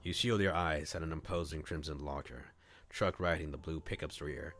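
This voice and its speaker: A man's voice: extremely low pitch, fairly even intonation, moderate pace, fairly bright tone. A man streaming a read-aloud of a visual novel, narrating it as a storyteller. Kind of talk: storytelling narration with acted dialogue.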